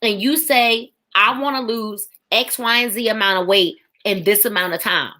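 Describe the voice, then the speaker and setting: A woman's voice: medium pitch, moderate pace, bright, forceful tone. A woman in her forties giving a faith testimony as a podcast guest, emphatic, with a preaching rhythm and repeated phrases. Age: 40s.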